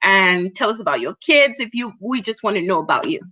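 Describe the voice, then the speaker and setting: A woman's voice: medium pitch, very animated, fairly quick, bright, forceful tone, husky. A woman hosting a casual live interview, talkative and informal, addressing her guest and viewers directly. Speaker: host.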